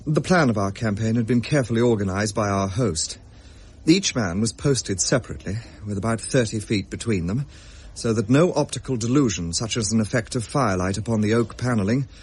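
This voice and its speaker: An actor playing a gentleman narrator who recounts his own ghost story in measured, formal period storytelling, with a husky voice. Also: mid-range voice, natural voice.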